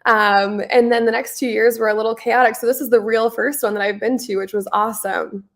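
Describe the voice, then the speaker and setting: A woman's voice: a little high, fairly even intonation, a little fast, creaky, fairly bright tone. A young adult woman hosting a live online Q&A, upbeat and friendly, a little stuffy from a head cold, talking over a video call. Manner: upbeat, friendly, with frequent ums. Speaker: host, venture principal.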